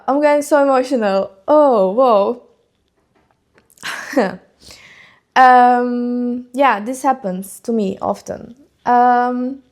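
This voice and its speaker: A young Turkish-accented woman talks unscripted to her camera at home, wandering from point to point with many ums and likes. Her voice sits a little high, and she takes her time, speaking slowly and loosely. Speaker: vlogger. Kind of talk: casual unscripted chat to camera.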